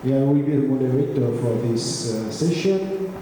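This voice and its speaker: A man from France, opening a panel as moderator in halting phrasing. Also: medium pitch, lively intonation, dark tone, a little hiss or hum. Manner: halting, non-native phrasing. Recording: echoey hall.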